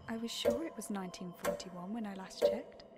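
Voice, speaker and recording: A woman's voice: medium pitch, fairly even intonation, fairly quick, lightly acted. Woman playing a timid, bewildered young maid. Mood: confused, meek. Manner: naive, deferential, hesitant. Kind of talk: audio drama acting. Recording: loud music under the voice.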